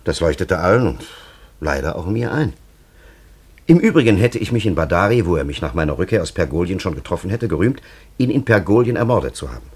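Give this radio drama a very low-voiced old man, an ancient time traveller who is grave and cunning. His delivery is solemn and ornate, with wide swings in intonation. He addresses others with patronising authority. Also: big pitch swings, fairly quick, a little mellow, slightly rough, full character voice. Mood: solemn, sly. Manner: solemn, elaborate, theatrical storytelling. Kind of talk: radio play acting.

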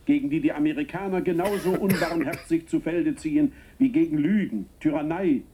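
An actor playing a zealous American propagandist who delivers a solemn, pompous political sermon in a radio play. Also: male voice, fairly high pitch, a little fast, mellow, lightly acted. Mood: solemn, self-righteous.

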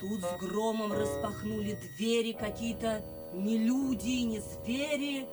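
Young well-born daughter, artful and dreamy, highish voice, slightly slow, expressive pauses, verse radio drama, music behind. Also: female voice.

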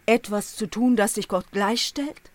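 A woman's voice: medium pitch, a little slow, dry recording, lightly acted. An actress in a German radio drama playing an ancient, gaunt woman who is Death, coaxing and commanding. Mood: goading.

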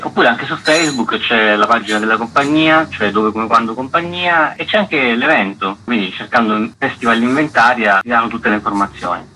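A man's voice: mid-range voice, normal speed, hardly any pauses, pushed and bright, low-quality recording. A man speaking as a festival director, explaining things as a guest in a radio interview.

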